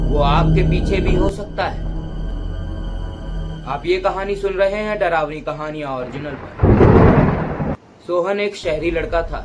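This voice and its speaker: A man narrating scripted horror stories as a voice-over in a slow, dramatic way. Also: on the high side. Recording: voice-over with loud music bed.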